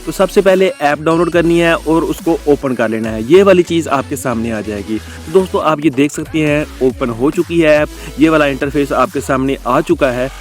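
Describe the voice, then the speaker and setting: A man's voice: on the high side, expressive, normal speed, hardly any pauses, soft background music. A man presenting a casual how-to tutorial, often addressing his viewers as friends. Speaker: host of a tech tutorial channel.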